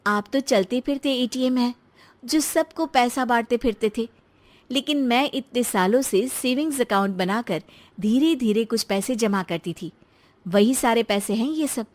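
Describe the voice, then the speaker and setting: A woman's voice: a little high, normal speed, a little mellow, natural voice. A woman voicing a sensible, thrifty wife in a story, speaking pointedly and reproachfully. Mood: pointed, proud.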